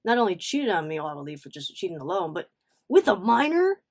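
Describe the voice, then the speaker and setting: Expressive woman telling a personal story. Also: fairly low pitch, fast, very noisy. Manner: animated, emphatic, acts out reported dialogue; informal slangy talk with swearing. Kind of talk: casual confessional storytime chat.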